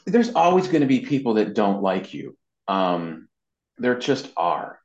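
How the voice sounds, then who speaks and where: Deep-voiced man in a muffled, echoey room. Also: sing-song, measured pace, a little mellow. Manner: informal, explanatory, often checks in with "you know". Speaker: interview guest, marketing and web consultant. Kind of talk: conversational interview advice. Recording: muffled lo-fi sound, roomy echo.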